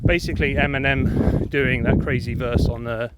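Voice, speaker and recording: A man from England talks casually as he walks through windy countryside, a little out of breath and full of ums and uhs. His voice sits at a middle pitch. Loud wind roars across the camera mic. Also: moderate pace. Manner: rambling, relaxed, many fillers. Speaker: vlogger. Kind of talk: casual walking vlog.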